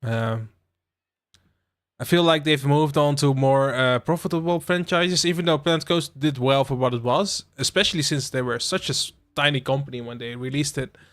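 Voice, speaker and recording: A young man from the Netherlands hosting a relaxed game livestream, rambling with lots of fillers and asides to his chat. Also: mid-range voice, moderate pace. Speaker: livestream host. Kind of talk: casual live-stream chat while playing a game.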